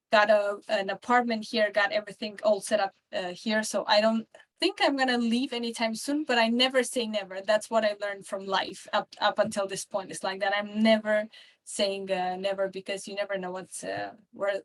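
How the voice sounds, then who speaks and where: A woman's voice: mid-range voice, low-quality recording. Chatty woman in her twenties. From Romania. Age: 20s. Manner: rambling, many fillers and restarts. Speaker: podcast guest. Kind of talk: casual interview chat.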